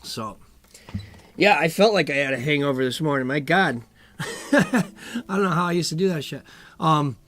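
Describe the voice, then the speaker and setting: A middle-aged man hosting a music live stream from home, chatting casually between songs in a low, rough morning voice, rambling and chuckling. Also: normal speed, long pauses, a bit bright. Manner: laid-back, rambling, chuckles often. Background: acoustic guitar playing.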